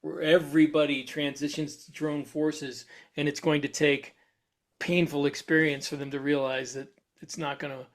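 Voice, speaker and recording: Male co-host, mildly higher-pitched, relaxed discussion style, conversational and curious. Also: moderate pace. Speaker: podcast co-host. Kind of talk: casual discussion.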